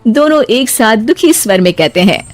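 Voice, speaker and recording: A woman reading scripted narration for an animated moral story. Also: a little high, normal speed.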